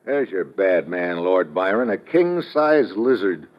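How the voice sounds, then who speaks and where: Wry American adventurer, animated delivery. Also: male voice, low-pitched, measured pace. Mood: dry, resolute. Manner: wry, clipped, commanding. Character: wry, tough, watchful adventurer investigating a mysterious island. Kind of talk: old-time radio drama acting. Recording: muffled, noisy old radio recording.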